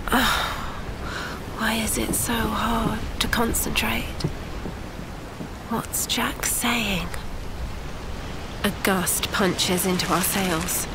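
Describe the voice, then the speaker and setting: An actress playing an injured, frightened woman sailor, narrating her ordeal in the present tense in halting, strained fragments. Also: lowish voice. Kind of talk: first-person present-tense dramatic narration. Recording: noisy storm and sea ambience.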